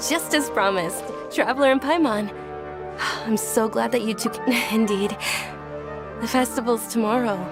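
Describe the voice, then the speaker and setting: A kind, fragile young noblewoman , played by a woman, speaks softly with a slightly raised pitch and a gravelly fry at the edges, sighing often. Loud game music sits behind her. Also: moderate pace, lightly acted. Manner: soft and gentle, sighs often. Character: frail, gentle young noblewoman, kind and hopeful. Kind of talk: game cutscene dialogue.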